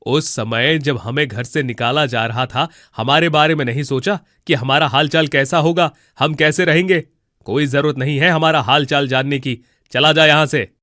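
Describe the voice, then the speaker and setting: A man's voice: on the high side, fairly even intonation, moderate pace, sharp tone, dry recording, clean studio sound. An actor playing a stern, indignant elderly husband and father in a cartoon moral story, scolding and reproachful. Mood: angry, distrustful.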